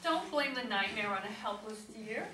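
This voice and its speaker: In a roomy hall-like recording, a woman plays a contrary wife in her forties in a stage comedy. Her voice is bright and a little high, dry and full of complaint. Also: lively intonation, moderate pace. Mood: aggrieved. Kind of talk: staged reading of a comedy play. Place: echoey room, mic away from performers. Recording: roomy distant stage recording, faint noise.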